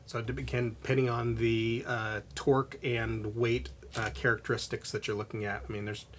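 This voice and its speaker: Male podcast host, flat even intonation, medium pitch, casual, slight background noise. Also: normal speed. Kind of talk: casual conversation.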